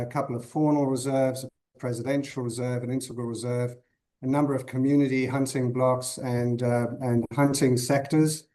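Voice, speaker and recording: A man in his fifties from Zimbabwe, a guest on a podcast interview, answering thoughtfully with many pauses and restarts. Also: medium pitch, darkish tone. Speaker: guest conservationist.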